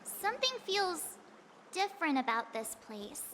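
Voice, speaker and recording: A woman's voice: extremely high pitch, sing-song, measured pace, background noise, heavily acted. A woman voicing a small, inquisitive, thoughtful companion character in a video game, with a childlike voice. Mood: thoughtful, curious. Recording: long reverb, faint game music.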